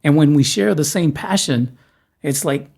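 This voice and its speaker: Husky middle-aged man from South Texas. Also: fairly high pitch, darkish tone. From South Texas, USA. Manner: halting, repeats words, jokey. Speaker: host. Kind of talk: casual conversation.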